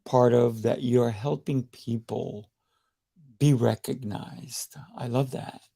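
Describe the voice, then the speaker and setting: A man's voice: mid-range voice, fairly even intonation, slow pace, gravelly, dark tone. A man hosting a podcast interview over a video call, eager and chatty, often checking in with "right?". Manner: enthusiastic, repeats himself, frequent "right?". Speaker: podcast host. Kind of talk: casual interview.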